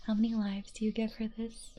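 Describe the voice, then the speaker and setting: A young woman streamer whispering softly and breathily as she reads chat quotes, giggling often between them. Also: on the high side, completely flat delivery, a little fast, slight background noise.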